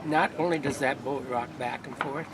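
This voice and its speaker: A man playing an old-time Norwegian immigrant fisherman, telling tall tales in a droll, deadpan way outdoors. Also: mid-range voice, moderate pace, distant mic, natural voice. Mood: droll storytelling. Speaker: living-history storyteller. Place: outdoor festival grounds. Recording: outdoor noise, voice a bit off mic.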